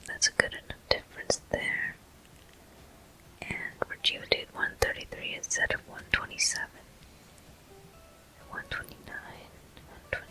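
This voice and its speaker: A person whispering softly and breathily into a close microphone, narrating gameplay step by step in an ASMR style. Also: low-quality recording. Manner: soft, unhurried, gently narrating each step. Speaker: gaming video host. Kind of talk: ASMR whispered game commentary.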